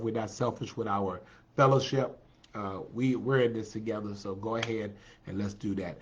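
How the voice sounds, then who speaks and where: A man's voice: mid-range voice, darkish tone. Male livestream host, congested and nasal, chatty, plenty of fillers, dull lo-fi sound. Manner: chatty and encouraging, with many fillers. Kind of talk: casual livestream chat greeting viewers.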